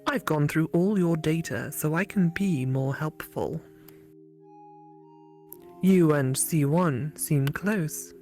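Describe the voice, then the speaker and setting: A woman voicing a skull-faced female app creature in a visual novel, speaking in slow, hushed, broken phrases. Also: deep voice, soft background music. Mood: calm, attentive.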